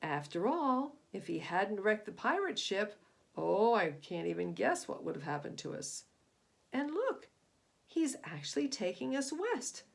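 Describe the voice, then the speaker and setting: A woman voicing a steady, commanding male ship's captain in a children's story, reasoning aloud with emphasis. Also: mid-range voice, a little slow, vocal fry. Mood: calming, reasoning.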